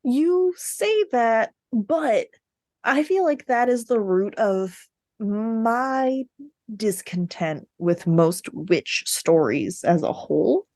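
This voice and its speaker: A woman's voice: on the high side, slow pace, a little mellow, no room sound. Opinionated woman chatting, dry close sound. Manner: opinionated, blunt, swears casually. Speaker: podcast co-host. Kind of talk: casual book discussion.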